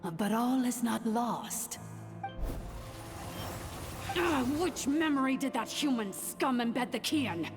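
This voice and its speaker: An actress playing a vengeful, imperious ancient machine sovereign in a video game, speaking in a grandiose, contemptuous, theatrical way. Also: female voice, fairly high pitch, animated, slow pace, pauses often. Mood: scheming, vengeful. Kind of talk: dramatic video game voice acting. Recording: music behind the voice.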